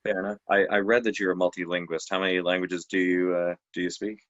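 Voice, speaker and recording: A man from Canada hosting a podcast, asking relaxed questions in casual chat. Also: low-pitched, fast talker. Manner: relaxed, asks questions with short agreeing replies. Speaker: podcast host. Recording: dull lo-fi audio.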